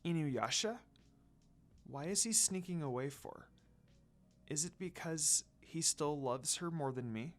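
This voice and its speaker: A woman storyteller plays a gentle teenage girl from an anime fan story. She speaks softly and murmurs, with a sad, wounded temper and a lively, moving pitch. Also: measured pace, long pauses, soft background music. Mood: heartbroken. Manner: soft, murmuring.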